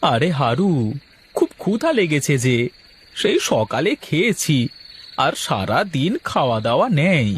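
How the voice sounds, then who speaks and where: A man playing an excitable, grumbling village husband. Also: a little high, unhurried, husky, full character voice. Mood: hungry, complaining. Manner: exclamatory, theatrical grumbling. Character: blind village husband, hapless, aggrieved and excitable. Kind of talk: Bengali comic cartoon dialogue.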